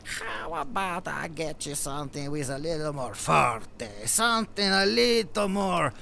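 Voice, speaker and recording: A man's voice: a little high, expressive, a little slow, vocal fry, pushed and bright, a little hiss or hum, full character voice. A man voicing a talking Campari bottle, a pushy mobster shopkeeper who talks in menacing wiseguy patter full of uh fillers. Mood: sly. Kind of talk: comedy audio drama acting.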